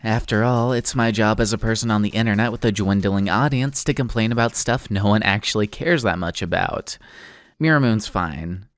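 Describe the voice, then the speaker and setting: A man's voice: fairly low pitch, moderate pace, dry recording, clean studio sound. A man giving a casual video essay commentary, sardonic and self-deprecating, with informal wording. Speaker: online video essayist, host of the channel. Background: background music.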